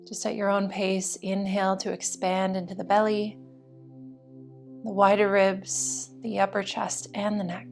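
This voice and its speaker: A woman's voice: mid-range voice, completely flat delivery. Soothing woman guiding yoga over music. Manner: soothing, slow and deliberate phrasing. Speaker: yoga teacher. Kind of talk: guided meditation instruction.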